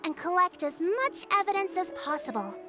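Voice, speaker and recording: A young female chief in a video game. She is high-pitched and lively in intonation, yet articulate, polite and composed. Music runs behind her. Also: normal speed. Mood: confident. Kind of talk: video game character dialogue.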